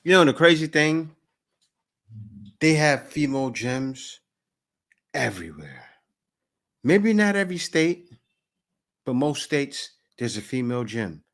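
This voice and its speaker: A man's voice: mid-range voice, sing-song, slow, long pauses, fairly bright tone. A man in his forties giving loose, conversational reaction commentary and repeating himself. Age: 40s. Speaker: host reacting to a video.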